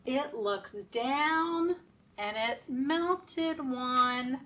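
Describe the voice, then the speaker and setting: Woman, children's librarian, very slow sing-song delivery, fairly high pitch, encouraging, slightly distant microphone. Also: pushed and bright. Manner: slow, deliberate, encouraging, asking children questions. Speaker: children's librarian leading story time. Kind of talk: sing-song story time for young children.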